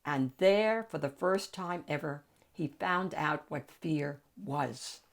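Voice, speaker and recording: A woman's voice: sits low, slow. A woman reading a picture book aloud expressively, as the host of a storytime. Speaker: storybook reader and channel host.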